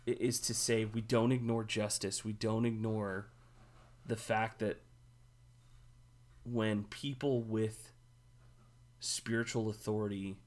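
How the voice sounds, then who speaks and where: A man co-hosting a casual church discussion, speaking in an earnest, emphatic way and rising in pitch to stress his points. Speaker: podcast co-host, minister.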